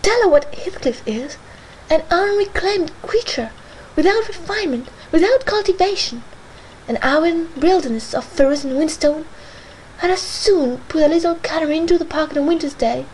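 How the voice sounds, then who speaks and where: A woman's voice: high-pitched, darkish tone, a little hiss or hum, close to an everyday voice, poor audio. A woman reading aloud, voicing a proud, impatient young mistress who scolds emphatically.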